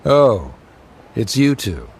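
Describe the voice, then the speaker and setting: A man playing a formal, reserved academy scholar in a video game, speaking in a measured, stiffly polite manner. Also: medium pitch, sing-song, darkish tone, slight background noise. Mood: formal, composed. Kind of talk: video game dialogue acting.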